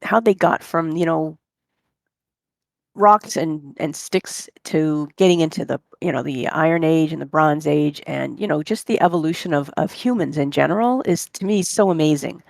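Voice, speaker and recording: A native English speaker chatting casually, telling rambling, animated stories and voicing their own thoughts aloud. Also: medium pitch, normal speed, vocal fry, no room sound, clean studio sound. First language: English, speaks only one language. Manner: rambling, animated anecdotes with voiced inner thoughts. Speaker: interviewee, online language-club member. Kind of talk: casual conversational storytelling.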